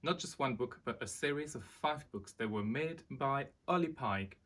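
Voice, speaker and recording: A man presenting and reading a children's storybook aloud in an expressive way. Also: medium pitch, normal speed.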